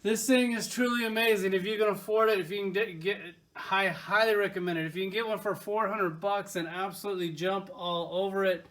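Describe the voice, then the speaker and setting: A man's voice: high-pitched, fairly even intonation, fairly quick, hardly any pauses, fairly bright tone. A man casually reviewing toys from his collection, enthusiastic and rambling, with lots of filler words. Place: at home by his collection shelves.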